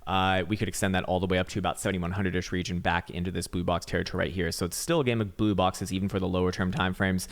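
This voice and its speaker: A raspy-voiced man giving casual, rambling chart analysis, swearing now and then and full of fillers and restarts. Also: sits low, little pitch movement, very fast, few pauses. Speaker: market analyst.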